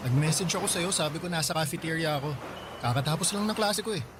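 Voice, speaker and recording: A man's voice: a little high, lively intonation, fast, heavy background noise, natural voice. An actor playing a kind, generous young male college student who speaks in an easygoing, caring way in a radio drama. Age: young adult.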